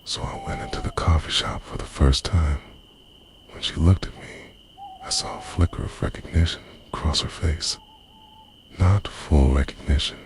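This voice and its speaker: A man with an extremely deep voice performs an intimate first-person ASMR story as a lovesick, obsessive lover. His delivery is breathy and half-whispered, with very wide swings in pitch. Faint night-time nature noise sits behind the voice. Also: huge pitch swings, normal speed. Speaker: audio roleplay performer.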